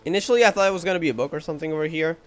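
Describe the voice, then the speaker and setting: A man's voice: fairly high pitch, racing. Casual guy commentating over game music. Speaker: gamer commentating on playthrough. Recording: faint game music behind.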